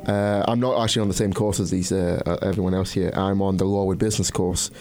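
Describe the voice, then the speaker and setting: A young man in his twenties, a law student answering interview questions in a relaxed way with frequent fillers. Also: low-pitched, fast talker. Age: 20s. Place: radio studio.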